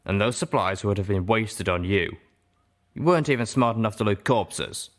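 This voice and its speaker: A man's voice: lowish voice, animated, moderate pace. Man as unicorn stallion shopkeeper, proud father, firm, scornful, defiant, audiobook character.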